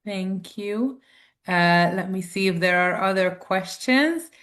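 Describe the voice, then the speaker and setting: A woman's voice: mid-range voice, slow pace, a bit bright. A woman moderating an online Q&A, thanking the speaker and reading out audience questions over a video call. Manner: reads out audience questions, polite thanks, light fillers. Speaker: event moderator.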